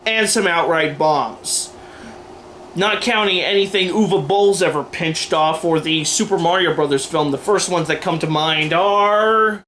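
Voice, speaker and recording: High-pitched man giving emphatic opinions. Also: measured pace, fairly bright tone, a little hiss or hum. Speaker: video host and aspiring filmmaker. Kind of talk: opinion commentary to camera, semi-scripted list.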